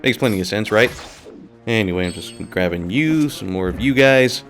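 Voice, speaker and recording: A man's voice: lowish voice, lively intonation, normal speed, a bit bright, faint music behind. A man hosting a let's play, talking casually and rambling while sick and a little nasal. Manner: casual, rambling, laughs often. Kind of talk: gameplay commentary.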